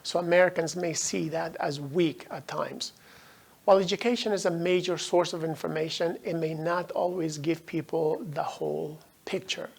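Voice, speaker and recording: A middle-aged man from Afghanistan, a native Dari speaker, giving a measured, explanatory recorded lecture as a professor. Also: on the high side, fairly even intonation, gravelly, breathy edge.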